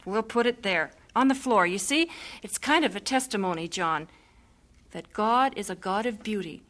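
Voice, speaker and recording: An actress playing a young missionary wife in a radio drama, earnest and expressive. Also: female voice, medium pitch, normal speed, natural voice. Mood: hopeful. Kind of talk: 1950s-style radio drama acting.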